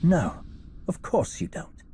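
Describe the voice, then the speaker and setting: An actor playing a scheming Sith scholar in a video game, silky and theatrical, with mock deference over quiet ambition. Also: male voice, takes it slowly, a little hiss or hum, lightly acted. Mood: wary, deferential. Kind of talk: cinematic video game acting.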